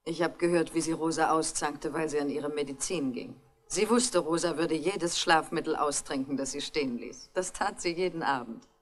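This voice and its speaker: A woman's voice: low voice, normal speed, faint music behind. A woman playing a scornful married woman in a 1960s radio crime drama, cutting and accusatory. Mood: accusing. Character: scornful, sharp-tongued married woman accusing her family.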